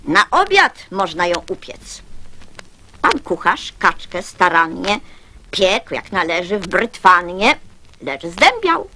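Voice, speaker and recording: A woman's voice: lowish voice, big pitch swings, takes it slowly, bright, forceful tone. A woman reciting a children's poem in a theatrical, storytelling manner.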